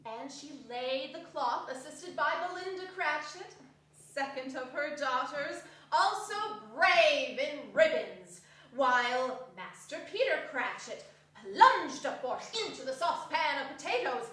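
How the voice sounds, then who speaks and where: A woman reads a classic story theatrically, with emphatic relish. Her very high, bright voice moves up and down freely in a big echoing room. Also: expressive, unhurried. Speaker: stage reader, narrator. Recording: very echoey hall from far off.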